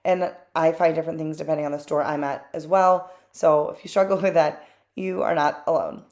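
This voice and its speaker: A woman's voice: low voice, steady tone, a little fast, darkish tone. A woman hosting her own craft channel, narrating a voice-over in a chatty, candid way with plenty of fillers and asides.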